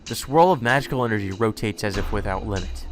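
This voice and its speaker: A man with a fairly low voice reads visual novel narration aloud for a let's play. Game music plays clearly behind him. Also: expressive, fast talker.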